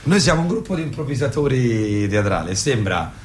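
A man's voice: medium pitch, very animated, fairly quick, noisy background. A man hosting a live radio show as an improv performer, talking casually and jokingly, often breaking off mid-sentence. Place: radio studio with others present. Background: live guitar music.

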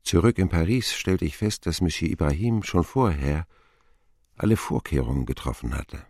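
An actor playing a grown man who recalls his boyhood in measured, reflective radio drama narration. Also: sits low, expressive, a little mellow, dry recording, studio quality. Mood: subdued, wistful.

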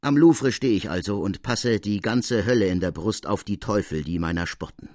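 A man's voice: fairly low pitch, normal speed, a little mellow, dry recording, studio quality, close to an everyday voice. A man voicing an agitated, bitter police officer in dramatic, emphatic storytelling for an audiobook.

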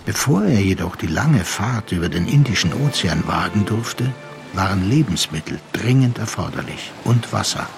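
A man's voice: low-pitched, lively intonation, normal speed, vocal fry. A man narrating a radio play as a dramatic literary storyteller. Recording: loud music bed under the voice. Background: loud music.

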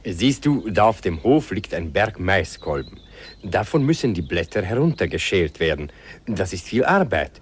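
An actor playing a kindly old village doctor in a radio play, patiently explaining things. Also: male voice, deep voice, sing-song, moderate pace, slight background noise. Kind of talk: 1950s radio play acting.

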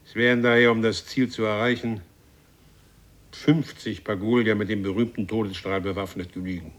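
An actor playing an imperious warrior from a future race in a radio play, with a commanding, grandiose and menacing way of speaking. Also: male voice, lowish voice, little pitch movement, moderate pace, close to an everyday voice. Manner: commanding, grandiose, solemnly threatening. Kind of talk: German radio play acting.

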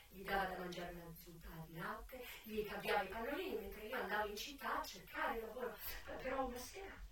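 A woman's voice: medium pitch, natural voice. An actress performing a dramatic stage monologue as a young American former prostitute and single mother, raw, defiant and emotional. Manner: theatrical, emotional, swinging from hushed to wailing. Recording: distant, very echoey stage recording with music. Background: music.

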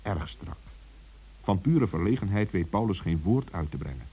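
A man's voice: deep voice, animated, moderate pace, warm tone, husky. A man narrating a children's story intimately, speaking directly to listeners and characters.

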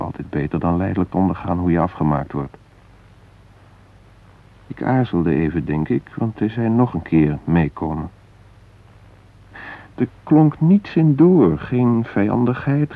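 An actor playing a shaken former hostage, retelling a brush with death in an intense, introspective radio drama monologue. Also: male voice, low voice, creaky, full character voice. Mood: agitated, controlled. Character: shaken male former train hostage recounting facing death. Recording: muffled, narrow-band old radio recording.

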